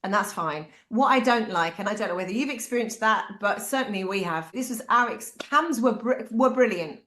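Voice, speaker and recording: A woman with a British accent, a parent giving candid, animated advice to other parents. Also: medium pitch, a little fast. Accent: British English. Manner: animated, acts out quoted voices. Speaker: parent speaker. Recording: echoey room.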